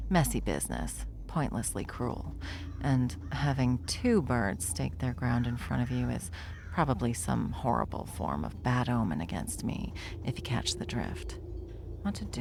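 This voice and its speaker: A woman playing a bored, smug, powerful villain in an ASMR roleplay, with a wry, taunting drawl and a creaky voice. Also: a bass voice, a little hiss or hum, exaggerated voice. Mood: weary, menacing.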